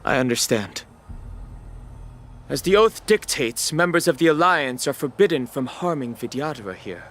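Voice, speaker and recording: An actor playing a stern, righteous spear-wielding wanderer in a video game, with a grave, formal, measured delivery. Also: male voice, mid-range voice, animated, a bit bright, natural voice. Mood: calm, determined. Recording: game music behind the voice.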